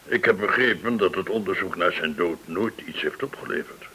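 A man's voice: low voice, moderate pace, creaky, bright, forceful tone, slightly rough, full character voice. An actor in a radio play playing a courteous, shrewd male go-between, formal, learned and insinuating. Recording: hissy old radio recording.

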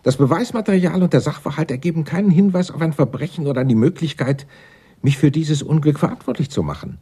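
Radio drama: arrogant male suspect, condescending and wordy, mellow but rough-edged, very animated in pitch. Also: quick pace. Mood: indignant. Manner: pompous, sneering, wordy.

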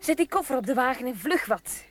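A woman's voice: high voice, animated, fast talker, soft background music. A woman playing an imperious, rude little boy of a noble house in a radio drama. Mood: bossy.

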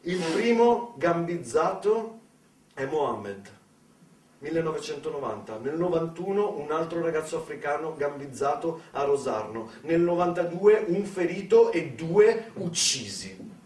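A man performing a dramatic theatrical storytelling monologue, emphatic, with deliberate pauses and repetitions. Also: fairly high pitch, very animated, darkish tone. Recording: roomy, distant mic, faint background noise.

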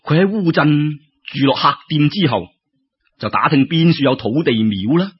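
A man's voice: on the high side, lively intonation, normal speed, slightly rough. A man with a Cantonese accent narrating a folk tale in colloquial oral storytelling style. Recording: dull lo-fi radio-style recording.

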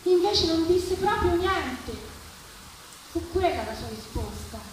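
A woman giving an expressive, theatrical reading of literary prose at a live event. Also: very high voice, slow. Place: live public reading at a festival, distant mic. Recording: echoey, distant, noisy live event recording.

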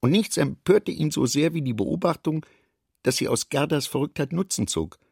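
A man reading scripted literary narration for a radio play in a measured, expressive way. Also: a little high, gravelly, breathy edge, no echo, studio quality.

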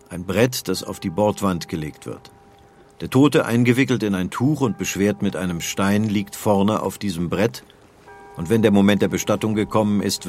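A man's voice: low voice, a little mellow. A man speaking as an interviewed expert, explaining in plain documentary interview talk. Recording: faint ambient noise.

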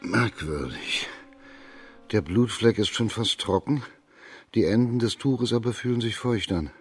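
An actor playing an authoritative, shrewd imperial magistrate, questioning deliberately in a German radio crime drama. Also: male voice, on the low side, husky. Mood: pondering.

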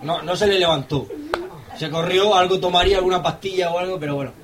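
A man's voice: high voice, fast talker, fairly bright tone. A man casually telling an anecdote. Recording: distant mic, noisy with clicks.

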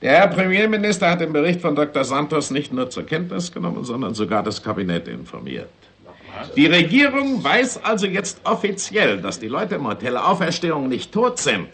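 An actor playing a principled, legalistic justice minister in a 1960s radio drama, arguing in a precise, formal manner. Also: male voice, fairly high pitch, wildly swooping pitch, normal speed, a bit bright, exaggerated voice. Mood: formal, insistent.

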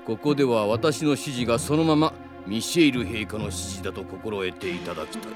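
An actor playing a haughty, scheming middle-aged general in a radio drama, speaking in formal, condescending courtly language. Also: male voice, on the low side, a little fast, music in the background, lightly acted. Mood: threatening.

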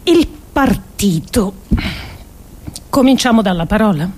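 A woman performing a scripted literary monologue as a radio actress, solemn and deliberate, with emphatic phrasing and pauses. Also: mid-range voice, animated, darkish tone.

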